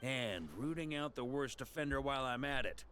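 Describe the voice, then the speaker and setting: An actor playing a hearty, rugged knight commander in a video game, jovial and philosophical with a rousing, theatrical manner. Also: male voice, mid-range voice, moderate pace, pushed and bright, a little hiss or hum, close to an everyday voice. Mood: commanding. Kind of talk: dramatic video game voice acting. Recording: music behind the voice.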